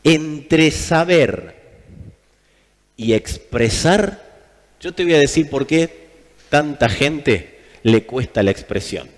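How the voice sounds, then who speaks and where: Argentine guy preaching, kinda high voice, really emphatic, repeats stuff, big echoey hall. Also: expressive, slow, pauses often. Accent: Argentine Spanish. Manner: emphatic, repeats phrases for effect. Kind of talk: sermon. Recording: long hall reverb.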